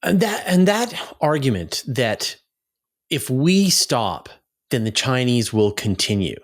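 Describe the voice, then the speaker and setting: A man's voice: mid-range voice, very animated, takes it slowly. A man hosting a casual interview, feeling his way through long, thoughtful questions. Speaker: host, interviewer.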